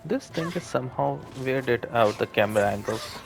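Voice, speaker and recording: Gameplay commentator: casual, animated intonation, quiet game music underneath. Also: medium pitch, normal speed, creaky. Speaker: gaming video commentator.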